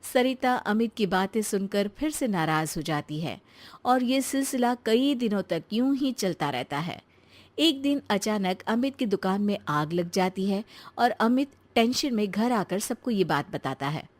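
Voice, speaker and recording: A woman narrating a children's cartoon story in a plain, steady way. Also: medium pitch, unhurried.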